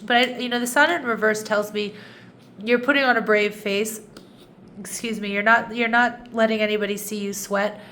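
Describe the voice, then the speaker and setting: A woman's voice: medium pitch, fairly even intonation, normal speed, fairly bright tone, a little hiss or hum. A woman giving a casual tarot reading, a little nasal and congested, chatty with frequent restarts and asides. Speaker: tarot reader.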